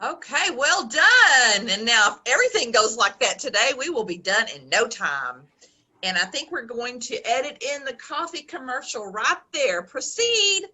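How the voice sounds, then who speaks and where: Woman as self-important community theater board member, lively swoops, forceful tone, web farce. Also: a little high, moderate pace, full character voice. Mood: businesslike. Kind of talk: farcical web comedy acting.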